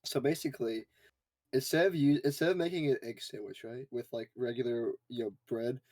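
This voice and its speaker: A man co-hosts a casual group Q&A. His delivery is even and steady, padded with easy fillers. The sound is dry and close, with no room around it. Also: medium pitch, brisk, no room sound. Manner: says he sounds monotonous, talks with playful fillers.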